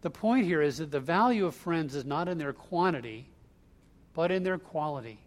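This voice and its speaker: A man with a somewhat high voice delivers a sermon from the pulpit. He walks through a verse step by step in a relaxed, conversational manner, mixing teaching with personal stories. He grew up in the US Northeast. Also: moderate pace. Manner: conversational, explains line by line. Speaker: preacher. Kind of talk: expository sermon.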